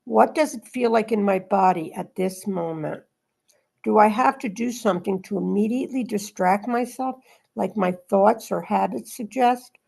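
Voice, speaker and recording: Woman with a creaky, gravelly edge. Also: mid-range voice, normal speed, warm tone. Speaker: host reading aloud and leading group discussion. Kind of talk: reading a spiritual text and discussing it informally.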